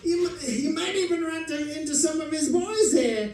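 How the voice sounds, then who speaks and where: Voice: sits high, moderate pace, darkish tone, slight background noise, close to an everyday voice. A performer playing a nervous, pleading underworld informer in an old-time radio crime drama, stammering and whining in slang. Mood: nervous, confiding. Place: live stage performance, mic at some distance. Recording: very echoey stage, distant from mic.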